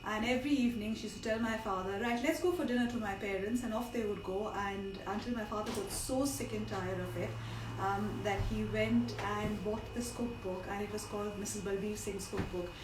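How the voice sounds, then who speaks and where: A woman's voice: mid-range voice, a little fast. A middle-aged woman from India with a partly lost voice, chattily leading an informal live cooking demonstration with frequent asides. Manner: chatty, digressive instruction with asides. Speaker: cook hosting a live cooking class. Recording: roomy, distant mic with background noise.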